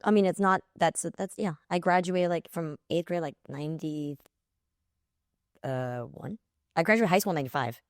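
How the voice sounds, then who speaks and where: An American woman in her forties co-hosts a casual chat in a low-pitched voice. She is blunt and emphatic in how she puts things. The sound is dry, with very little room in it. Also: normal speed, no echo. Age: 40s. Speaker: podcast co-host.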